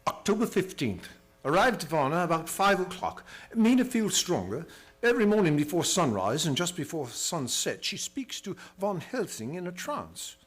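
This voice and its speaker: Male actor as earnest vampire-hunt narrator, swooping intonation, slightly high voice, formal, old-time radio drama. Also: sing-song, moderate pace. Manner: formal, reading out dated reports.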